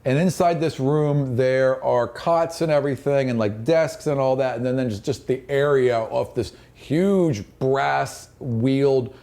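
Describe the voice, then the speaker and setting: A man's voice: medium pitch, a little slow, hardly any pauses, warm tone. A man narrating an improvised fantasy tabletop game as game master, descriptive and conversational, often repeating phrases as he explains.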